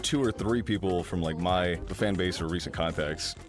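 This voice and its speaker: A young American man chatting casually in an interview as a voice actor, telling stories in an animated, amused way. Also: deep voice, normal speed, music in the background.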